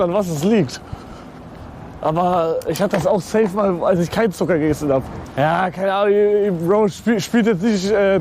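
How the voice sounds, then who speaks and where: High-voiced excitable young streamer man. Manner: slangy, excitable, swears a lot. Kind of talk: live outdoor stream chat. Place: outdoors on city streets. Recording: outdoor street noise with traffic.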